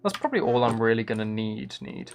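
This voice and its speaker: Male game streamer, casual and muttering to himself, gravelly fry in the voice, faint noise and key clicks behind. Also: mid-range voice, big pitch swings, fast. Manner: muttering to himself between replies to chat. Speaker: live streamer. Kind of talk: casual chat while playing a video game on a livestream.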